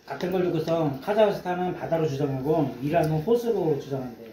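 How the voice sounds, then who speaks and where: A man with a fairly high voice thinks aloud in a relaxed way, with fillers. He sits some way from the mic in an echoey restaurant. Dishes and cutlery clatter loudly behind him. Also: fairly quick. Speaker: friend at the table. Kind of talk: casual chat over a meal. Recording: distant voice in a noisy echoey restaurant.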